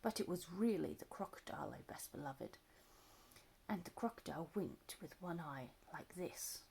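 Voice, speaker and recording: A woman reads a children's tale aloud with lively, expressive phrasing that swoops up and down. Her voice is mellow, with some gravel and a husky edge, and she drops low for quiet asides to the listener. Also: moderate pace, a little hiss or hum. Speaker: storyteller reading aloud. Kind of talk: children's story read-aloud.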